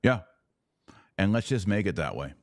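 A man's voice: low voice, very animated, normal speed, no echo, studio quality. A middle-aged man hosting a podcast, chatting casually and informally in a folksy way. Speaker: podcast host. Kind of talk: casual interview talk.

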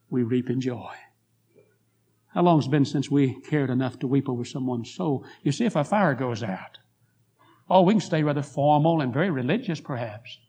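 A hoarse man preaching a revival sermon in a church, telling a story and building to fervent appeals. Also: mid-range voice. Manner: rising to fervent pleas, then quiet prayer. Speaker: guest preacher. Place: church sanctuary.

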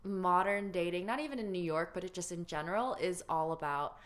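A young woman hosts a casual podcast chat with a friend. She talks loosely, with lots of likes and ums and quick laughs. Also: fairly low pitch. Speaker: podcast host.

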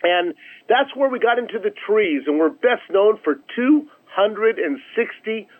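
High-pitched man on a tinny phone line. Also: animated, a little slow. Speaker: interview guest, nonprofit chief executive. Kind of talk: conversational radio interview answers.

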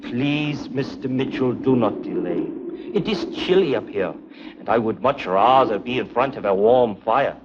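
An actor playing a suave, menacing foreign spy who speaks with formal, mock-courteous precision in an early 1950s TV drama. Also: male voice, medium pitch, expressive, a little slow, background noise, close to an everyday voice. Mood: mock courtesy. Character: suave, menacing male foreign spy villain, coldly polite. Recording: muffled vintage 1950s TV soundtrack.

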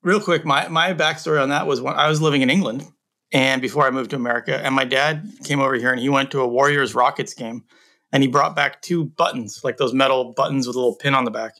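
A man hosting an interview podcast, upbeat and chatty, often pausing on fillers and restarts. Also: medium pitch, steady tone, bright, forceful tone. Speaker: podcast host. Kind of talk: casual interview chat.

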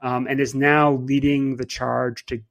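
A man's voice: mid-range voice, level tone, a little slow, vocal fry. A man hosting a podcast, talking thoughtfully in a casual way with many fillers and restarts. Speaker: podcast host. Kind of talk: casual conversational podcast talk.

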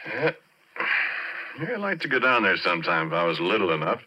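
A very low-voiced easygoing oil driller in an old 1940s radio play. He talks in plain, folksy oilfield slang, like a working man spinning an eerie tale. The sound is dull and muffled, an aged broadcast recording. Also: very animated, fairly quick, pushed and bright, husky, heavily acted. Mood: easygoing, hearty. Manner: folksy, colloquial. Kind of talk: 1940s radio drama acting.

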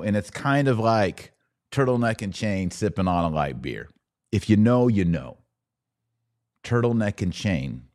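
A man's voice: on the low side, no room sound, clean studio sound. A middle-aged American man hosting a podcast, giving opinionated religious commentary in an informal, slangy, mocking and incredulous way.

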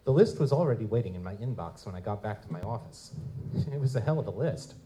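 Man acting a mellow, streetwise private eye, noisy recording. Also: lowish voice, lively intonation, fast, close to an everyday voice. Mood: brooding narration. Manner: casual, slangy noir sleuth. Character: wry, streetwise male goblin-like private investigator. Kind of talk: live audio drama dialogue and first-person narration. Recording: noticeable background noise.

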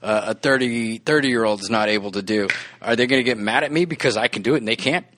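A man's voice: medium pitch, fast, a bit bright, a little hiss or hum. A man in his forties, a podcast co-host, talking casually and earnestly with restarts and frequent laughs. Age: 40s. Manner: rambling, earnest, laughs often. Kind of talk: casual chat.

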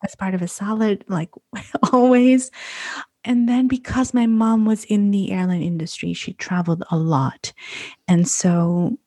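Mellow-voiced chatty woman. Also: mid-range voice, fairly even intonation, measured pace, no echo, clean studio sound. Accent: London English. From Curacao. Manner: chatty, laughs easily, repeats words. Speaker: podcast guest, food photographer. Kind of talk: casual conversation.

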